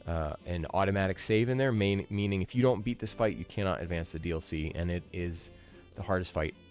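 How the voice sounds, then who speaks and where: A man's voice: sits low, brisk, a little mellow. A man in his thirties giving casual playthrough commentary as a channel host, thinking aloud as he plays. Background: game music.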